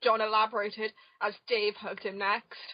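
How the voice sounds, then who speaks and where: A woman's voice: medium pitch, level tone, moderate pace, no room sound. A woman narrating an amateur dramatic reading of comic fan fiction, on a muffled home recording.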